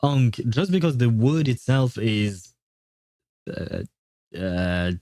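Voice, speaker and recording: A man's voice: mid-range voice, animated, slow pace, dark tone, dry recording, clean studio sound. A man hosting a casual gaming livestream, rambling and interrupting himself as he chats and grumbles playfully with viewers. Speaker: livestream host, gaming streamer.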